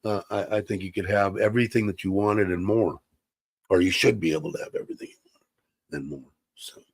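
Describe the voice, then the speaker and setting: A man's voice: deep voice, fairly quick, breathy edge, no echo. A man hosting a casual livestream from his computer, chatty and rambling with many fillers, sounding slightly congested. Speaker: livestream host. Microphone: computer mic.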